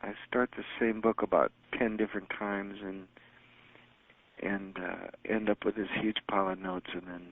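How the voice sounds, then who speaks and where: A man's voice: fairly low pitch, flat delivery, moderate pace. A man hosting a teleconference, chatting casually and rambling with many fillers and restarts. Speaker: teleconference host answering callers. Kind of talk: casual phone chat. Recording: phone-line audio.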